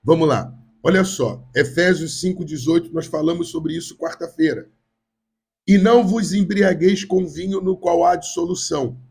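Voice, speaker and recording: A man's voice: fairly high pitch, normal speed, gravelly, darkish tone, breathy edge. A man from Brazil with a Rio de Janeiro accent preaching a sermon to a church, repeating and stressing his points, currently getting over a cold. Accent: Brazilian Portuguese, Rio de Janeiro. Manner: repetitive, emphatic preaching with direct address to the congregation. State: has a cold. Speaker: pastor.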